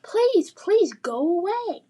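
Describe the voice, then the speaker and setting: A woman's voice: extremely high pitch, sing-song, slow pace, dark tone, pitched above the performer's own voice, heavily acted. A woman voicing a frightened, disbelieving young girl in a children's fantasy story, with an emphatic, pleading manner. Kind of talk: children's fantasy read-aloud.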